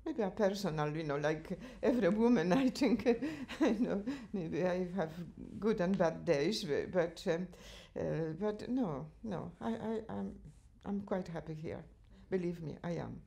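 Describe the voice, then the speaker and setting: Older Polish-accented woman, gravelly. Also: fairly low pitch, animated, warm tone. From Poland. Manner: chatty, laughs often, halting non-native grammar. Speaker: interviewee, cultural association chair. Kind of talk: reminiscing interview answers. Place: living room at home, indoors.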